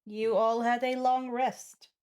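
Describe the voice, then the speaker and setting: A woman running a tabletop game, narrating on the fly in a deliberate way with pauses between phrases. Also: on the high side, level tone, darkish tone, clean tone. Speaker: game master.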